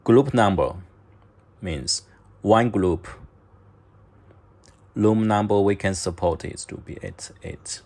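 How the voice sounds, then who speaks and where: A man narrating step-by-step instructions in halting, short clipped phrases, as a product demonstrator. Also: on the low side, lively intonation, a little mellow. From China.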